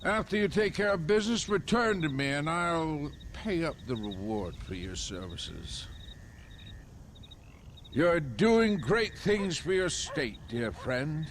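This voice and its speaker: An actor playing an oily crooked political fixer in a Western video game, speaking in florid, theatrical, pompous phrases. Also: male voice, fairly high pitch, very animated, slow pace, slight background noise, full character voice. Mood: slick, pompous. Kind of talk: video game cutscene acting.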